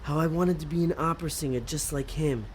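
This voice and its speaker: A man's voice: fairly high pitch, brisk, a little hiss or hum, close to an everyday voice, poor audio. A man playing an earnest, sentimental demon sidekick in an audio comedy drama, sincere and slightly pedantic. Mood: sincere, sheepish.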